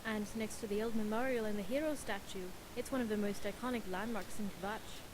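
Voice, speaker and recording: A woman's voice: medium pitch, fairly even intonation, brisk, lightly acted. A woman voicing a young female blacksmith in a game, telling of her family forge with earnest, dreamy passion. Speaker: blacksmith NPC. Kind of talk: game voice acting. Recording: game audio under noisy commentary.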